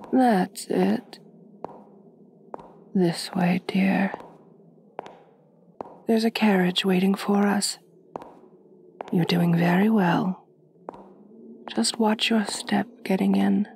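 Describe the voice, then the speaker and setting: An aristocratic female vampire, acted by a woman for an ASMR roleplay, speaks very slowly and softly in formal, soothing phrases. Her breathy voice has a marked creak and drops toward a whisper, gentle yet possessive. There is faint noise behind her. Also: mid-range voice, steady tone. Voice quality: soft, breathy, often near-whispered. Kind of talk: soft-spoken ASMR roleplay.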